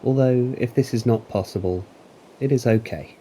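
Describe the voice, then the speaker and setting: A man narrating a guided meditation in a calm, deliberate, soothing manner with long pauses. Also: mid-range voice, a little hiss or hum, poor audio. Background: waves.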